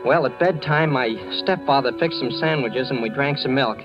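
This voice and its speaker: A low-pitched, boyish young American man, acted by a male radio player, nervous and evasive yet earnest. He speaks in 1940s radio drama style on a dull old recording with music. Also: normal speed, lightly acted. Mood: confiding. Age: young adult. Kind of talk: 1940s radio acting with first-person narration.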